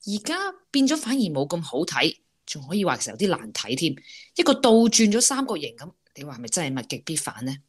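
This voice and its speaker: A woman's voice: deep voice, sing-song, quick pace, husky. A woman with a Hong Kong Cantonese accent co-hosting a radio chat, musing and teasing. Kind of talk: casual chat.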